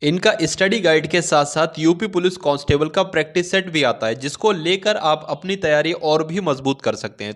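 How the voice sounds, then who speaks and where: A man's voice: a little high, fairly bright tone. A man giving instructional exam news, speaking directly to his viewers as a channel host. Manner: instructional, repeatedly addressing viewers as companions. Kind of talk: informational talk to exam aspirants.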